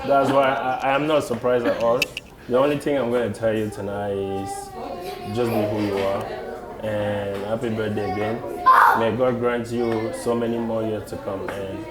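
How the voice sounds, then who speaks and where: A guest from Cameroon giving a short birthday toast, slow and deliberate, laughing between phrases. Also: medium pitch, little pitch movement. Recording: echoey room with loud crowd.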